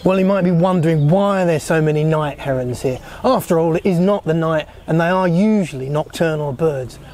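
A middle-aged man presenting to camera outdoors beside a canal, in a relaxed, wry, conversational way. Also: a little high, normal speed, a little hiss or hum. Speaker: birding vlogger.

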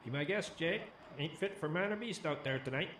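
A man's voice: a little high, lively intonation, brisk, a bit bright, reverberant, a little hiss or hum. An actor playing a hospitable old backwoods camp man in a radio drama, with clipped, folksy phrasing. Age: older.

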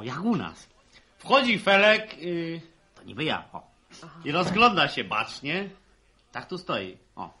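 Young rural joker, very bright forceful voice, high-pitched, theatrical, vintage radio drama. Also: male voice, slight background noise, heavily acted. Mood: bossy, joking. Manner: clowning, showy, animated announcing. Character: boisterous young farmer, eager amateur village actor. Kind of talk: 1960s Polish radio serial acting.

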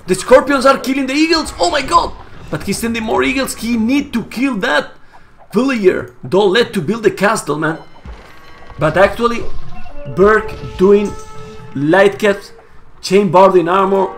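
A hoarse, Spanish-native man casting a live strategy game match, getting louder and repeating himself at big plays. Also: high voice. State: hoarse, losing his voice. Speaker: esports caster. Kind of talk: excited live game commentary.

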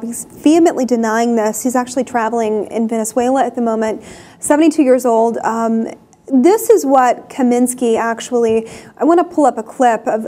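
Young female host, higher voice, chatty studio news delivery, lapel mic. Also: darkish tone. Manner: chatty, many fillers and restarts. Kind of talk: casual news commentary. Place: TV studio desk.

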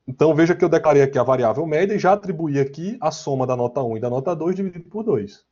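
A man's voice: on the high side, lively intonation, quick pace, a little mellow. A Brazilian man giving an informal online programming lecture in a conversational way, often checking in with little question tags. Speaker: programming teacher. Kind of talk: informal online lecture with slides and live coding. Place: online class, screen share. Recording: dull, lo-fi online class audio.

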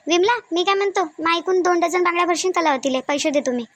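A woman's voice: very high-pitched, quick pace, dry recording. A woman voicing chatty, bossy village women in a Marathi cartoon comedy, with a Varhadi accent and a colloquial, nagging manner. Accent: Varhadi Marathi of Vidarbha.

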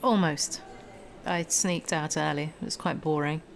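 A woman's voice: deep voice, steady tone, measured pace, gravelly, a little hiss or hum. Poised, polished young woman. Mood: breezy. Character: polished, evasive young female finance professional. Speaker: actor in an audio drama. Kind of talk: naturalistic audio drama acting.

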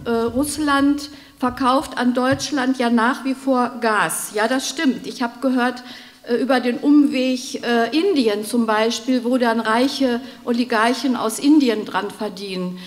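A woman's voice: on the high side, steady tone, measured pace. A middle-aged woman from Germany, standing in the audience of a large hall and making an earnest, hesitant comment into a stand microphone. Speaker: audience member.

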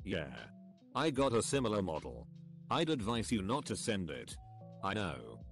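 A man reading a series of online forum comments aloud in a steady, even delivery. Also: on the low side, moderate pace, soft background music.

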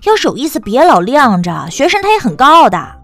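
Actress as bubbly high-pitched young best friend. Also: female voice, very animated, brisk, a bit bright, faint music behind, heavily acted. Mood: playful, prying. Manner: chatty, playful, exclamatory. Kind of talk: audiobook drama acting.

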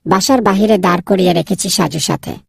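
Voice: mid-range voice, fairly quick, creaky, husky, no echo, clean studio sound. A performer voicing a kind, bold young boy in a children's cartoon, insistent and polite to elders. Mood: earnest. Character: kind, bold young boy Nilu, bossy with his friend. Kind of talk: children's moral cartoon dubbing.

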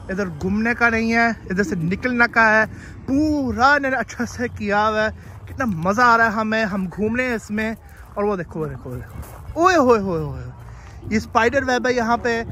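A young man vlogging while walking outdoors around a farm, chatting excitedly and hurrying his companions along, recorded on a handheld phone. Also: sits high, slight background noise. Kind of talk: casual walking vlog. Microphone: handheld phone camera.

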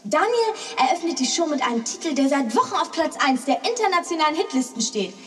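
A woman's voice: high voice, sing-song, fast, far from the mic. An actress playing a young female TV show announcer in a children's audio drama. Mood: showy, welcoming.

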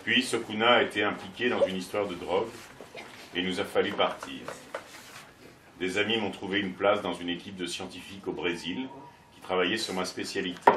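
An older man reads a text aloud in a very deep voice, steady and measured. The sound is roomy and distant, with clear background noise. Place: bookshop, indoors. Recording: echoey shop from far off, chatter.

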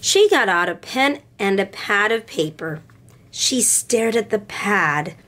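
A woman reading a picture book aloud to children in a deliberate, expressive way. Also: mid-range voice. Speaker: storybook reader for children.

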